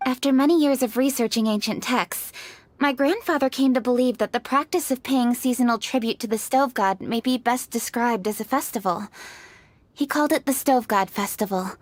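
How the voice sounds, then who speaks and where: A woman voicing an earnest, scholarly young official in a video game, speaking briskly and clearly. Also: on the high side, no room sound, lightly acted.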